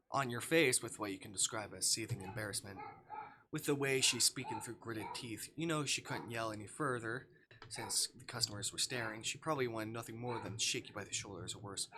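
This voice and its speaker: A man's voice: on the low side, background noise. A man live streaming, expressively reading a visual novel aloud with casual asides and stumbles as he skims.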